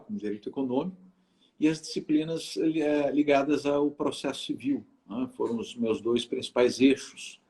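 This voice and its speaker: A man's voice: medium pitch, darkish tone. A middle-aged man from southern Brazil, a lawyer and lecturer, talking as an interview guest with frequent hesitations and restarts. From Rio Grande do Sul, Brazil. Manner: hesitant, many filler sounds and restarts. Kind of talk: casual conversational interview.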